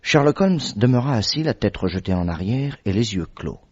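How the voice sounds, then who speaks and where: A man narrating a radio drama in a measured, steady way as the detective's companion. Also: lowish voice, husky. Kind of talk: scripted narration. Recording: dull vintage radio recording.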